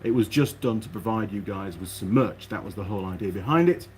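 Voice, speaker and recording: A man's voice: on the low side, expressive, a little fast, slight background noise. A man chatting casually and unscripted to his viewers as a mechanic vlogger, rambling along and thinking aloud in his workshop.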